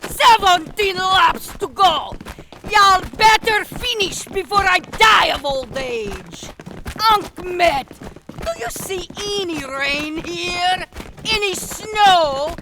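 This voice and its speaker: A woman's voice: very high-pitched, expressive, takes it slowly, full character voice, rough recording. A woman in a fandub playing a bossy gym coach who barks sarcastic, military-style orders at runners. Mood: barking, impatient. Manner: barked military commands, sarcastic scolding. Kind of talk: fandub voice acting.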